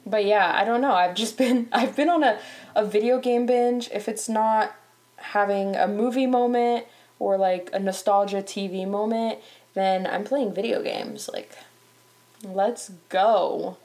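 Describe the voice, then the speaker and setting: A woman's voice: medium pitch, a little slow, darkish tone. A young woman from Canada, hosting a show in casual chat, talking freely with lots of fillers and laughter. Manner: chatty, laughs often, many "like" fillers. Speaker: podcast host.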